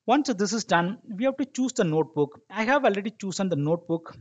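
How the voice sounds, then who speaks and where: A man narrating a software tutorial step by step as an instructor, explaining and checking that listeners follow, in a lo-fi recording. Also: high voice, expressive, a little fast. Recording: dull lo-fi microphone sound.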